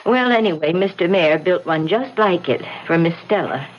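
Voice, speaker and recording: An actress playing an anxious, deferential housekeeper in an old radio drama, speaking politely and hesitantly. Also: female voice, fairly low pitch, lively intonation, normal speed, lightly acted. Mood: nervous, deferential. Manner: hesitant, polite, fussing, trailing off. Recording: muffled, hissy old radio drama recording.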